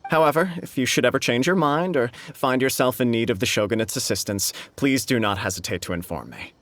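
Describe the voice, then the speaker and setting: An actor playing a dignified, courteous samurai official in a video game, with formal and diplomatic speech. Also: male voice, medium pitch, lively intonation, brisk, fairly bright tone, slight background noise, close to an everyday voice. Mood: gracious.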